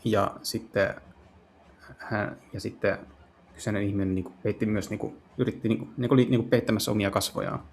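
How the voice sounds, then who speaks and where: Guy translating a game on the fly, low gravelly voice, hesitant, music behind. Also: fairly even intonation. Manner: halting, hesitant, searching for words. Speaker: gaming video host and live translator. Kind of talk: casual improvised translation of game dialogue.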